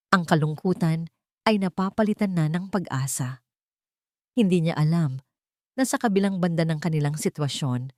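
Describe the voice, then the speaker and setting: A woman's voice: fairly low pitch, no echo, studio quality. A woman reading a scripted romantic drama as a storyteller. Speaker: narrator.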